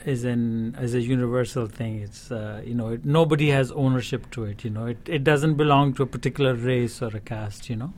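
A man with a Pakistani accent answering interview questions as a studio guest, explaining things in a halting, thoughtful way. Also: medium pitch, steady tone, a little mellow. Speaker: studio guest, sitar player. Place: radio studio. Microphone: studio broadcast microphone.

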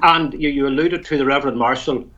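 Wry Tyrone storyteller on a lo-fi line. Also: male voice, medium pitch, fast talker, pushed and bright. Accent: Northern Irish, County Tyrone. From Northern Ireland. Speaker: storyteller and poet guest. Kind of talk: casual interview over a phone line.

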